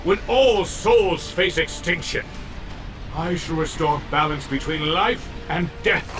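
An actor voicing a fanatical demon lord in a game, proclaiming in a theatrical, pompous way. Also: male voice, fairly high pitch, animated, takes it slowly. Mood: fanatical, grandiose. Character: grandiose, fanatical demon lord and dark messiah. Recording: distant voice under clear music.

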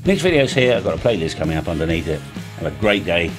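A man's voice: deep voice, fast talker, music in the background. An older British man around sixty hosting a video, chatting casually and animatedly to camera and often tagging his phrases with little check-in questions. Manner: chatty, animated, tags phrases with "right?" and "all right?". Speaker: amateur radio video host. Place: small home music studio, indoors.

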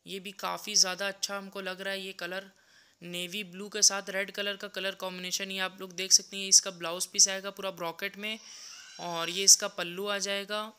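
A young man showing sarees in his shop, walking through each item with a steady, repetitive sales pitch while he films on a handheld phone. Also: smooth. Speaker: saree seller. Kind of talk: product showcase sales pitch.

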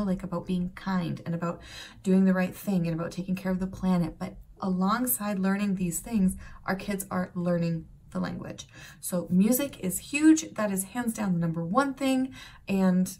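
A woman in her thirties, born in Serbia and raised in Canada and the United States, chatting casually to camera from a bright room at home, rambling with lots of fillers and asides. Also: lowish voice. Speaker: vlogger.

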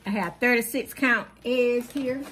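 A woman's voice: fairly high pitch, fairly bright tone, a little hiss or hum. A woman, hosting her channel and casually listing her groceries one by one with frequent fillers, recorded at home off camera. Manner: plain, unhurried listing with many fillers. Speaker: channel host. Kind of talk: casual grocery haul narration.

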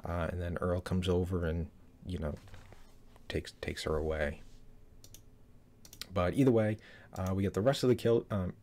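A man's voice: deep voice, moderate pace, pauses often, warm tone. A man in his thirties giving casual, rambling commentary on a trailer from a small room, with lots of fillers and restarts. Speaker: YouTube trailer reviewer.

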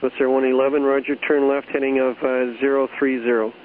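A man's voice: mid-range voice, flat delivery, brisk. A man working as an air traffic controller, giving matter-of-fact procedural instructions over the radio. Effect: radio transmission. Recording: tinny archived radio with light static.

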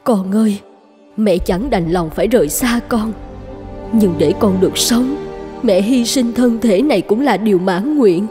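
A woman's voice: mid-range voice, measured pace, close to an everyday voice. A woman voicing a poor mother in a cartoon who gives her life for her son, speaking in a pleading, tender way. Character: poor self-sacrificing mother, adult woman. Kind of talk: cartoon dubbing. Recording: music playing under the voice.

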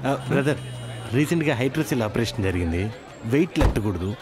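A man's voice: medium pitch, lively intonation, a little fast, crowd noise, close to an everyday voice. Man as a pompous, self-serving lecturer. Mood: self-important. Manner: pompous, stilted English phrases. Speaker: actor in a film. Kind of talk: film comedy dialogue.

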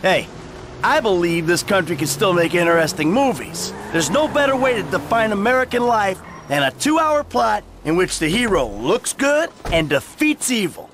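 An actor playing a brash, impatient middle-aged man with a gruff, emphatic manner in a video game. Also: high-pitched, sing-song, normal speed, fairly bright tone, a little hiss or hum. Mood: earnest. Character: brash, hot-tempered middle-aged movie-loving man.

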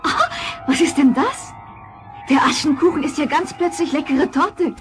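An actress playing a gentle, meek orphan girl in a fairy-tale audio play, sweet and earnest. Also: high-pitched, normal speed, soft background music, close to an everyday voice. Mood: delighted. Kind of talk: German fairy-tale audio drama acting.

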